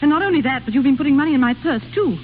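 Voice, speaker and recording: Through a thin, scratchy old radio recording comes a proud, imperious grand lady. Her voice sits fairly high and swoops dramatically as she commands and questions sharply, in vintage radio drama style. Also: lively intonation, rapid-fire, narrow, tinny audio. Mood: indignant. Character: haughty, imperious exiled noblewoman, proud and easily offended. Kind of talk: 1930s radio drama acting.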